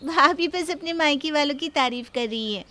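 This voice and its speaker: Woman acting a very high, sweet young daughter-in-law. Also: normal speed, pushed and bright. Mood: placating. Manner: placating, deferential. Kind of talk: Hindi family moral-story acting.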